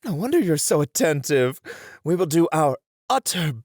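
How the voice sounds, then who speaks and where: A man voicing a poised, courteous and patient etiquette teacher in an ASMR roleplay, speaking in a measured, formal, theatrical manner. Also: fairly high pitch, very animated, a little mellow, dry recording, studio quality. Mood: encouraging.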